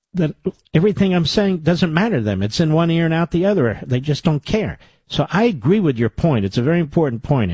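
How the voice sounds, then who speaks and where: A man's voice: a little high, a little mellow, breathy edge, no room sound. A man hosting a call-in radio show, talking emphatically and repeating phrases for stress. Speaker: radio talk show host.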